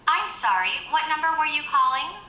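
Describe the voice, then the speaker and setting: An actress plays a courteous vintage telephone operator in a radio drama. Her high voice is brisk and singsong, over a dull, noisy line. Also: very animated, bright, forceful tone, roomy sound, exaggerated voice. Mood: brisk, polite. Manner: formal, singsong, scripted courtesy. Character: brisk, polite old-fashioned telephone operator. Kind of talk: old-time radio drama acting.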